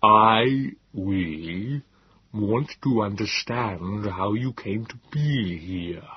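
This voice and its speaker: Guy reading as a creepy calm morlock, super slow and even, kinda dull lo-fi sound. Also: on the low side, husky, no echo, full character voice. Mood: measured. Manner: slow, even, measured delivery. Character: calm, clinical male Morlock keeper.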